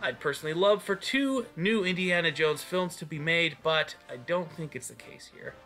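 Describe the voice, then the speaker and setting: Male fan-news host, upbeat and keen, somewhat high, crisp-edged bright delivery over music. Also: normal speed. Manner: enthusiastic. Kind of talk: scripted news roundup narration. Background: music behind the voice.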